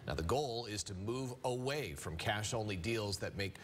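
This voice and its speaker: A man's voice: lowish voice, lively intonation, moderate pace, fairly bright tone, slight background noise. A man with an American accent reading scripted news as a television anchor. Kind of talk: scripted newscast narration.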